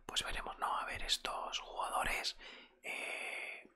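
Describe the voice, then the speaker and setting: A man with an accent from Spain speaks entirely in a close-miked whisper, airy and very bright. He plays a football coach in an ASMR roleplay, talking softly and without hurry, in a conversational way. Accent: Spanish from Spain. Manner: soft, unhurried, conversational. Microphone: close desktop microphone.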